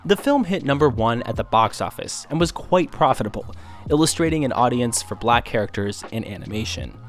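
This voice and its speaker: A man reading scripted documentary narration in a measured, formal, informative manner. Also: mid-range voice, lively intonation. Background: faint music.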